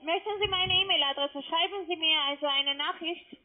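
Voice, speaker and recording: Woman call centre agent, not native, high voice, halting questions over tinny line. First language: not German. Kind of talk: halting phone sales questioning.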